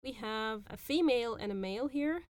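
A woman hosting a podcast and teaching phrases in a patient, explanatory way, repeating words slowly. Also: fairly high pitch, lively intonation, a little mellow, smooth, no room sound. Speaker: podcast host and Arabic teacher. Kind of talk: language lesson explaining phrases.

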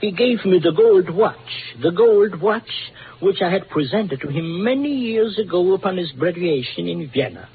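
An actor playing a rambling, self-important elderly doctor with a pompous manner, in 1940s radio style. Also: male voice, sits high, expressive, husky, full character voice. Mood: amused, rambling. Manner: pompous, chuckling, drawn-out. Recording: narrow noisy old radio recording.

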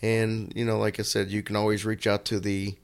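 Low gravelly guy, hoarse from a cold, chatting on his podcast kinda rambly. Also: completely flat delivery, brisk. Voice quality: raspy. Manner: earnest, rambling with fillers. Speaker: podcast co-host. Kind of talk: casual chat.

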